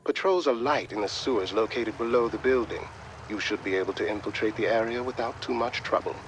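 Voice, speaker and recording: A composed military adviser voiced by a man briefs an agent in a game. His voice is on the low side, formal and measured, with faint noise underneath. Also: lightly acted. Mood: composed, informative. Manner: measured, formal, matter-of-fact. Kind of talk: video game mission briefing.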